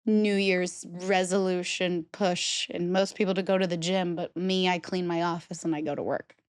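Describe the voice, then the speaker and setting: A woman's voice: fairly low pitch, fairly even intonation, moderate pace, smooth, dry recording, clean studio sound. A woman in her thirties co-hosting a podcast, chatting casually and laughing often, her throat still phlegmy from a cold. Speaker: podcast co-host. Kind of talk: casual chat.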